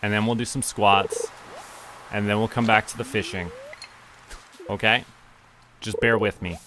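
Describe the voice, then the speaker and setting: A man's voice: on the low side, fairly even intonation, a little slow, bright, forceful tone, a little hiss or hum, low-quality recording. A man chatting casually to himself and to viewers as he streams a game. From Canada. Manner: talks to himself and viewers while playing. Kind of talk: casual game livestream chat.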